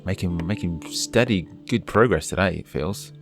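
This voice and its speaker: A man's voice: sits low, moderate pace, faint music behind. A man from the UK commenting casually over a game, chatty and urging his character on, while losing his voice. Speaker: let's play host.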